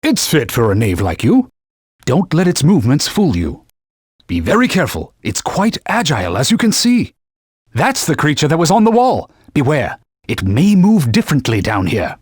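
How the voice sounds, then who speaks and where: Haughty talking sword, scolding, archaic phrasing, husky tone, wildly swinging pitch. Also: male voice, huge pitch swings, normal speed, no room sound, clean studio sound, full character voice. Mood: warning. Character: haughty male talking sacred sword, stern mentor. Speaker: game character. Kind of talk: video game voice lines.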